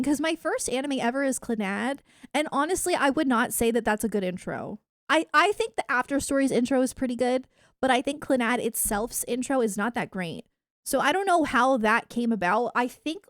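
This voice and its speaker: A woman chatting casually to viewers on a livestream in a giggly, animated, rambling way. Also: on the high side, no room sound, studio quality. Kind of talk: casual livestream chat about anime and games.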